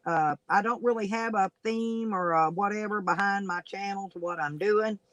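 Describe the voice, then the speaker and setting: A woman's voice: mid-range voice, moderate pace, a bit bright, no room sound, dull audio. A woman in her fifties or sixties from Australia, chatting in an outspoken, emphatic way. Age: 60s. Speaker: guest streamer. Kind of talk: casual chat on a livestream.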